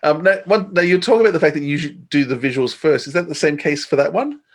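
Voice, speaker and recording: A man's voice: fairly high pitch, quick pace. A man hosting a podcast interview in a casual, enthusiastic and admiring way. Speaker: podcast host.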